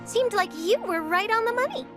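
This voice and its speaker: An eager young reporter from a video game. Her very high voice bounces with chirpy enthusiasm and wide melodic swings, over music. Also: very animated, normal speed. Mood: bright, curious. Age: young adult. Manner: chirpy, enthusiastic, crisp phrasing. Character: eager, perky young female newspaper reporter. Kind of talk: video game character acting.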